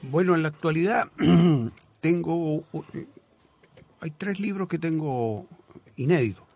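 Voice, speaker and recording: A man's voice: on the high side, takes it slowly, darkish tone, husky. A man with a Chilean accent talking as a guest writer in a live radio interview, reflective and often searching for words in literary phrasing.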